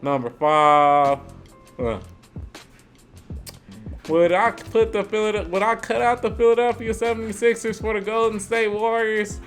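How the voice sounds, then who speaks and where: A young man with a sore throat talks through his picks in a loose, slangy way, thinking out loud as he goes. His voice sits a touch high. Faint music plays underneath. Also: measured pace, pauses often. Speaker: sports video host. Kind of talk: casual sports ranking commentary.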